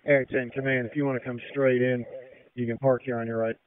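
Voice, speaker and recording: A man's voice: mid-range voice, flat delivery, fairly quick, clear, resonant tone. A man speaking as a fire officer over two-way radio in terse, procedural emergency phrasing. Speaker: fire officer on incident command. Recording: tinny narrow-band radio transmission.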